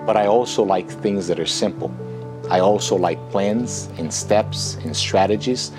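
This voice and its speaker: A man's voice: lowish voice, a little slow. A man in his thirties with a Brazilian accent, speaking English as a second language in an earnest, reflective personal testimonial. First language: Portuguese. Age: 30s. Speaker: author and entrepreneur promoting a book. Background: music.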